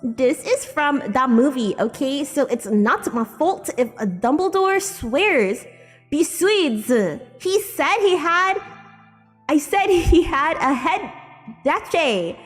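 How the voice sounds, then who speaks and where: Girl streamer reading fanfic out loud, theatrical and amused, high voice, soft music behind. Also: expressive, takes it slowly. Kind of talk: dramatic live reading of fan fiction. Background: faint music.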